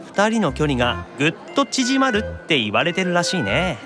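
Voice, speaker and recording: A man's voice: on the high side, huge pitch swings, normal speed, music in the background. An actor playing a geeky, shy young male demon in an anime-style audio drama, needling and boyish, stammering when embarrassed. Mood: teasing.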